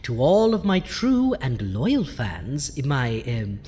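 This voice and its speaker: A woman's voice: a bass voice, wildly swooping pitch, takes it slowly, dark tone, heavily acted. A woman playing a zombie doctor who hosts a campy horror show, with a pompous, florid, theatrical manner. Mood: grandly theatrical. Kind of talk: campy horror host monologue. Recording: long hall reverb.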